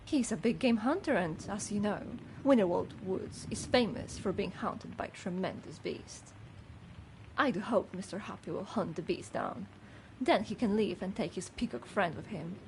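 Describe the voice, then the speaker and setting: A woman's voice: mid-range voice, animated, moderate pace, a little hiss or hum, natural voice, poor audio. A woman playing a gossipy, judgmental older housekeeper in a video game, with a fussy, haughty manner. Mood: disapproving, suspicious. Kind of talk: scripted game character dialogue.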